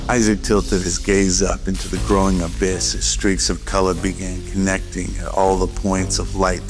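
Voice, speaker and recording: Over prominent music, a deep male voice narrates a scripted radio drama. His intonation stays very even throughout. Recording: loud music behind narration.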